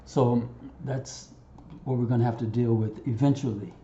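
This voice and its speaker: A man giving an unscripted software tutorial over a screen recording, haltingly thinking aloud and talking to himself as he works. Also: mid-range voice, steady tone. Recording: roomy, muffled, audible background noise.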